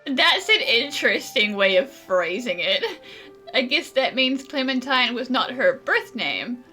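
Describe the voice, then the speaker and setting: A woman's voice: on the high side, big pitch swings, a little slow, pushed and bright. A woman giving casual, chatty and wry commentary as she plays through a game. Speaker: gaming streamer. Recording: game music faint behind the voice.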